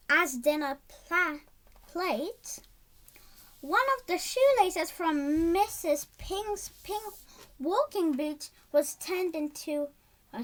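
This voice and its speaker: A child reading a storybook aloud in a halting way, stumbling over words and repeating them. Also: high-pitched, sharp tone.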